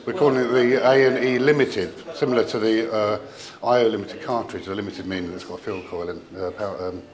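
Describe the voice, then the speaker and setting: A man giving an informal technical product explanation as a company representative, in a hesitant, halting way with trailing asides. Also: on the low side, fairly even intonation, a crowd in the background.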